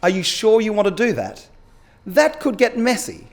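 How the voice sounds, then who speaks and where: A man as a cautious, higher-pitched best mate. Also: huge pitch swings, moderate pace. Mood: concerned. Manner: questioning, cautioning, colloquial. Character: cautious, concerned male best mate of the cyclist.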